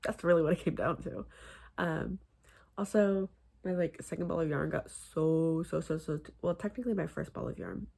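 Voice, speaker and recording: A young woman chatting casually about her crochet projects, rambling with many fillers and restarts, her voice a little husky. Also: low-pitched, normal speed, mellow. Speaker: crochet vlogger. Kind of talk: casual chat.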